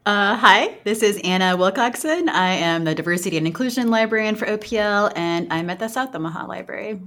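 A woman's voice: mid-range voice, lively intonation, fairly quick, a bit bright. Giggly woman chatting casually on a podcast. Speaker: librarian and podcast co-host. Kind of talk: casual group chat.

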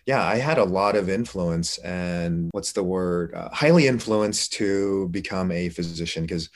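A man's voice: deep voice, unhurried. A middle-aged man, an interview guest, telling his personal story in an informal, reflective, conversational way. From Korea, moved to the United States.

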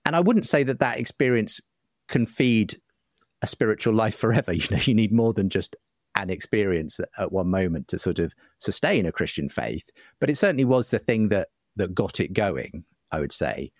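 A man's voice: lowish voice, expressive, moderate pace, dry recording, studio quality. A man speaking reflectively as an interview guest, hesitant and often qualifying himself as he searches for words. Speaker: interview guest, writer and broadcaster. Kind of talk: reflective personal conversation.

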